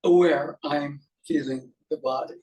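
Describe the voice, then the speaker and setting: A man leading an unscripted guided meditation as a teacher, musing haltingly in short fragments with pauses. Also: fairly high pitch, steady tone, darkish tone, low-quality recording.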